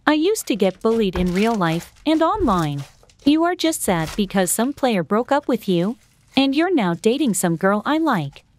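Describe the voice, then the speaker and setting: A woman's voice: fairly high pitch, very animated, moderate pace, darkish tone, slight background noise. A woman playing an insecure but friendly girl gamer in an amateur scripted storytime drama, speaking earnestly. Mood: wounded. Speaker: voice actor in an animated storytime drama. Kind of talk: scripted amateur roleplay dialogue.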